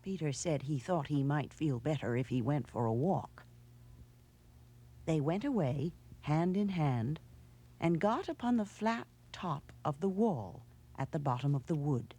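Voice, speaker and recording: A woman reading a children's storybook in a measured, expressive storytelling manner. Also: deep voice, slightly rough. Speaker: storybook narrator. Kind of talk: scripted children's storybook narration.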